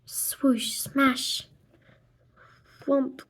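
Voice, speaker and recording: A woman reading a children's graphic novel aloud expressively, acting out the dialogue and sound words in a deliberate way. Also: high voice, little pitch movement, a bit bright, whispered. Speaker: read-aloud narrator.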